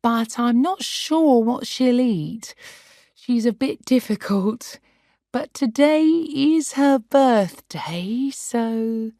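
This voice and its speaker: A woman's voice: a little high, expressive, extremely slow, no room sound, studio quality, voice pushed up, exaggerated voice. A woman doing a patient, coaxing granddaughter. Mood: apologetic. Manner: gentle, coaxing. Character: patient young woman caring for her grandmother.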